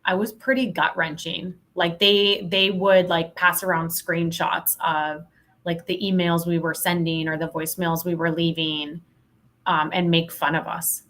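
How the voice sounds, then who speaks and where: Woman, lowish pitch, candid and emphatic, informal interview storytelling, podcast guest. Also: little pitch movement, a little slow, a bit bright. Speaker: podcast guest, sales consultant.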